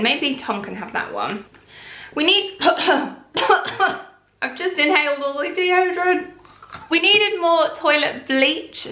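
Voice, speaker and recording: A young woman with a bright, clear voice chats her way through a haul in a casual, rambling way. Her talk sits a little high and stays calm and relaxed. She is recorded in a room with a noticeable echo. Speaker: vlogger.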